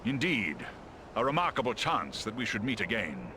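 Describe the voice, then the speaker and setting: An actor playing a proud, loyal giant eagle warrior in a fantasy game, speaking formally and earnestly. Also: male voice, lowish voice, big pitch swings, moderate pace, noisy background. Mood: respectful, earnest. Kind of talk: fantasy game cutscene acting.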